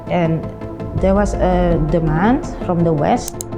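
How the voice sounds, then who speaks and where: A woman in her forties with a Dutch accent, telling her own story in halting non-native English during an interview. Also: on the low side, vocal fry, over music. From Netherlands. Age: 40s. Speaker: interviewee, non-profit founder. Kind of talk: personal account in an interview.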